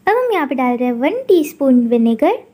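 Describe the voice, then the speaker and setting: Cooking host, woman, high mellow voice, calm step-by-step recipe voice-over. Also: fairly quick. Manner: calm instructional narration.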